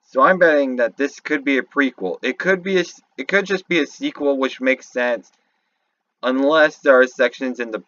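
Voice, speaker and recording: A man giving casual, off-the-cuff game commentary, halting and thinking aloud as he goes. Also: mid-range voice, fairly bright tone, dull audio. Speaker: YouTube commentator.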